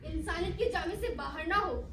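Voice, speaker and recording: A woman's voice: extremely high pitch, normal speed. A woman playing a young daughter pleading with her father in a theatrical stage comedy. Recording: echoey hall, far-off mic, very noisy.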